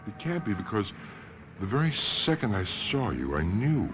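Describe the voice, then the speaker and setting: A man's voice: on the low side, big pitch swings, normal speed, fairly bright tone, faint music behind. An actor playing an earnest, troubled husband recalling his lifelong love in a radio drama. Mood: eager, pleading. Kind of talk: 1970s radio drama acting.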